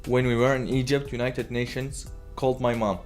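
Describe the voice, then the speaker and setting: A young man from Syria speaks English as an in plain, earnest sentences, now and then correcting himself. His voice sits at a middle pitch for a man. Soft music plays beneath him. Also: little pitch movement, moderate pace. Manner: simple, earnest phrasing with small slips. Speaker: refugee interviewee. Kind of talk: personal testimony.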